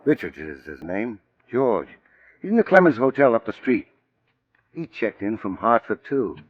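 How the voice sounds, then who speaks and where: An actor playing a nosy, cynical hotel detective in an old radio drama, with blunt, colloquial talk. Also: male voice, on the low side, sing-song, normal speed, no echo, lo-fi sound. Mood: informing. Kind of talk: 1950s radio drama acting.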